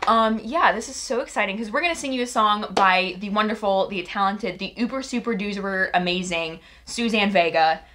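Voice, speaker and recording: Young woman hosting her music show, bright sharp voice, talks fast and excited, webcam in her bedroom. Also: medium pitch. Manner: bubbly, quick, enthusiastic. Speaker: music channel host. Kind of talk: upbeat casual intro chat. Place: bedroom with posters and a guitar, indoors.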